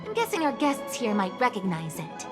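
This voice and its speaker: Spirited teasing young woman, high and animated, game cutscene with music. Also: normal speed. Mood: curious. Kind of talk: game voice acting.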